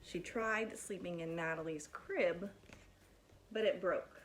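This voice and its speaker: Slow-paced woman reading to children. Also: lowish voice, slight background noise. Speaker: teacher reading a picture book aloud to pupils. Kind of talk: expressive children's read-aloud.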